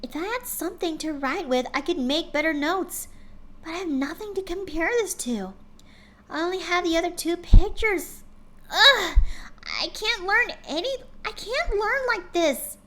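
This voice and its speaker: A woman's voice: extremely high pitch, lively intonation, a little slow, pushed and bright, pitched above the performer's own voice, exaggerated voice. A woman voicing a studious, earnest young female pony who frets and lectures. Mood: frustrated.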